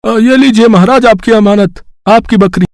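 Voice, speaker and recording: A man's voice: sits high, normal speed, dry recording, clean studio sound. A man playing a humble village goat seller, deferential and eager to please. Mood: obliging. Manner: deferential, hesitant openings.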